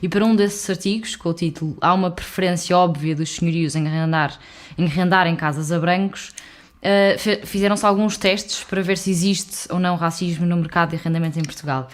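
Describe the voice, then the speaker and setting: A woman host asks interview questions at a brisk pace, her voice slightly low. She lays out background before each question in a measured way. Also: steady tone. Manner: measured, sets up questions with context.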